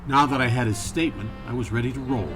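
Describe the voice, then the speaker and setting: An actor playing a hard-boiled, world-weary private eye in a campy noir radio play, with an American accent and a deadpan, clipped manner. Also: male voice, mid-range voice, quick pace, close to an everyday voice, poor audio. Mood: wry narration. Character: hard-boiled, wry male private eye narrating his case. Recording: music behind the voice.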